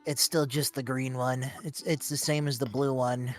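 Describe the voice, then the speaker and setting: A bookish teenage boy from a video game, put on by a man, with a slightly nasal, middle-pitched voice. He is fussy, cautious and quick to get exasperated, with faint music underneath. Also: little pitch movement, natural voice. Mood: frustrated. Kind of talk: live fan dub of a video game.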